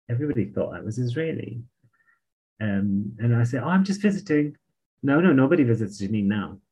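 A husky man with a British accent tells his stories with energy, slipping into the voices of the people he met. His voice is a little breathy, and he laughs easily as he goes. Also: medium pitch, lo-fi sound. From Palestine. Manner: animated anecdotes, laughs often, acts out voices. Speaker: memoir author guest. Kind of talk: storytelling in an interview.

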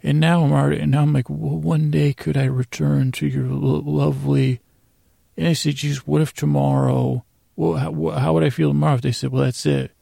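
A man hosting a podcast with a rambling bedtime monologue, meandering, halting and circling back on his own sentences. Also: fairly high pitch, little pitch movement, creaky, mellow, no room sound. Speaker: podcast host.

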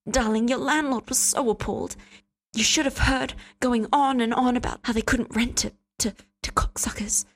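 A woman putting on a high, syrupy, pious woman. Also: fairly even intonation, moderate pace. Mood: sugary. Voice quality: sweet, syrupy, condescending. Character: syrupy, pious, controlling woman, a meddling friend. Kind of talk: storybook reading.

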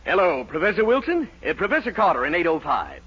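Rapid-fire, irritated college professor. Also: male voice, sits high, very animated, soft background music. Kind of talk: 1940s radio sitcom acting. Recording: muffled 1940s radio recording.

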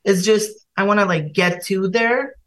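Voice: mid-range voice, unhurried, fairly bright tone. Hesitant young singer, Bulgarian accent. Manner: hesitant, many fillers and restarts. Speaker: singer guest. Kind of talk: casual podcast chat.

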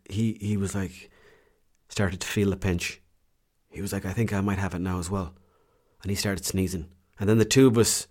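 A man's voice: fairly low pitch, a little mellow. An Irish man telling a rambling, self-mocking holiday story in casual vlog style, with slang and the odd swear. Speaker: vlogger.

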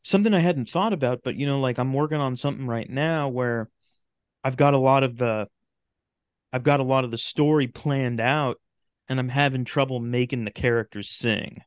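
A man's voice: medium pitch, moderate pace, clear, resonant tone, dry recording, clean studio sound. A man talking casually as an interview guest, thoughtful and searching for his words. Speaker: podcast guest, writer and radio drama creator.

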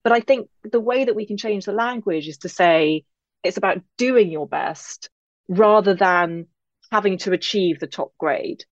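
An English woman speaking as an interview guest, reflective, articulate and measured in how she puts her thoughts together. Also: mid-range voice, no room sound, highs cut off. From England-born, grew up in Northern Ireland. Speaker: podcast guest, author.

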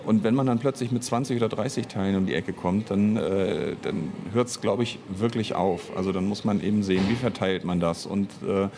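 A man's voice: on the low side, normal speed, few pauses, noisy background. A man answering as an interview guest in a casual, reflective, explanatory way. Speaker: interview guest, audio drama writer and producer.

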